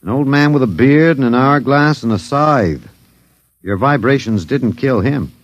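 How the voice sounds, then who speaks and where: An American actor in an old radio drama playing a wry, resigned professor who talks hesitantly and with dry humor. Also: male voice, medium pitch, expressive, a little slow, dry recording. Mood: sardonic, resigned. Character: wry, resigned male anthropology professor, last man on Earth. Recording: dull, dry vintage radio recording.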